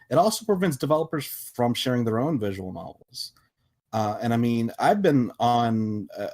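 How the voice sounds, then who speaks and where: A man's voice: lowish voice, a little mellow. A man talking casually on a podcast as a panelist, emphatic and often restarting his sentences as he makes his point. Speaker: podcast panelist and visual novel developer.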